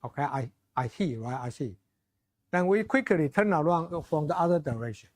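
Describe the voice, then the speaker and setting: Middle-aged male lecturer, Chinese accent, higher-pitched, loose halting delivery full of fillers. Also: expressive. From China. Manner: halting, restarts phrases, many fillers, asks audience for questions. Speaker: visiting lecturer. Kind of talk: informal closing remarks to students.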